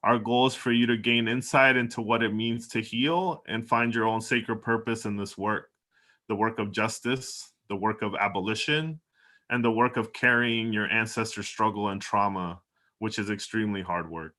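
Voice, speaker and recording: A man's voice: fairly low pitch, normal speed, sharp tone. A man from El Salvador, a doctoral student, giving earnest, reflective opening remarks as the moderator of a panel.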